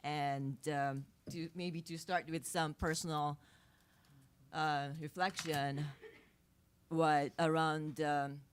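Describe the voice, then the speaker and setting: Japanese woman, 50s, non-native English, low-pitched, hesitant and soft-spoken at a press conference podium. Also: flat delivery, slow pace, slight background noise. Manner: hesitant, many fillers and restarts, soft-spoken with nervous laughs. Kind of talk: unscripted press conference remarks. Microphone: podium microphone.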